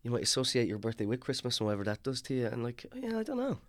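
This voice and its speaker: A man's voice: medium pitch, huge pitch swings, rapid-fire, dry recording, clean studio sound. A man in his thirties with a rural Irish accent, chatting casually and rambling with lots of fillers. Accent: Irish, rural Longford. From Ireland. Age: 30s. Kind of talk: casual chat.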